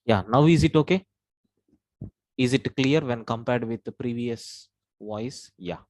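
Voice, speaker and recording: A man's voice: medium pitch, unhurried, darkish tone, poor audio. Man teaching step by step. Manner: addresses listeners as sir, step-by-step walkthrough. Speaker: programming instructor. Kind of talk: online class lecture. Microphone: own mic at a computer, online class.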